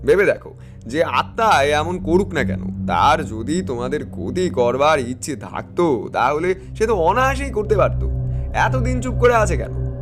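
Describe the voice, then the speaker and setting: Male actor as commanding tantric priest, animated intonation, assured, expressive, audio ghost story, music underneath. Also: a little high, normal speed, close to an everyday voice. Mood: reassuring. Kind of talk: dramatised Bengali audio story dialogue.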